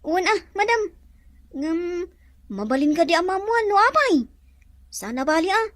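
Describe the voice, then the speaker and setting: Woman as nosy, wisecracking underling, Ilocano radio drama, piercingly high and very animated. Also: moderate pace, dry recording, clean studio sound, exaggerated voice. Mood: nosy, pleading. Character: cheeky, chatty, gossipy employee named Joven.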